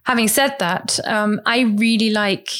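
A woman from Germany, a guest in an interview, explaining her study habits in a chatty way with many restarts, sometimes acting out quotes in a higher voice. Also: measured pace, fairly bright tone. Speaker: interview guest, language learner. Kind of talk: casual conversational explanation.

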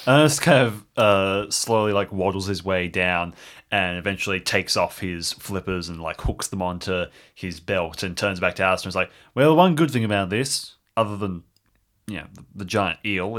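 A man playing in an improvised audio drama, chatting casually and haltingly as he role-plays. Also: low voice, steady tone, a bit bright.

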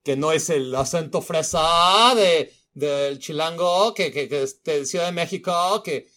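A man's voice: a little high, a little slow, pushed and bright. A man with a Mexico City Spanish accent hosting a football podcast, talking in a rambling casual monologue full of fillers and swearing. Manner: rambling, frequent fillers and profanity.

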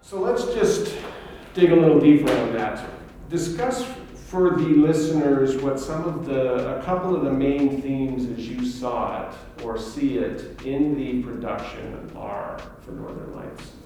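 A man with a dark, mellow voice puts informal questions to a guest. He is set back from the mic, and the room echoes strongly around him. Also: medium pitch, measured pace, hardly any pauses, far from the mic. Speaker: interviewer.

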